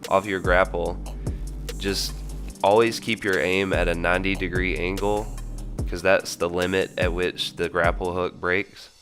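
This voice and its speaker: A young man hosting a gaming tips channel, explaining game mechanics step by step in a steady voice, still stuffy from a cold. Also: low voice, measured pace. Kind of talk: scripted game tips voice-over. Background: background music.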